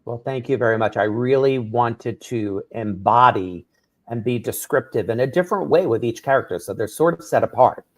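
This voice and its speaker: New author explaining eagerly. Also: male voice, medium pitch, moderate pace. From New York. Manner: enthusiastic, explanatory, tags "right?". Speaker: author and drag performer, guest. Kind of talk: radio interview.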